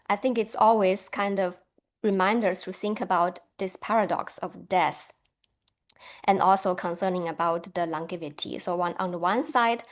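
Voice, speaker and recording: A woman from China giving a friendly academic lecture over a video call, laughing lightly now and then. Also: on the low side.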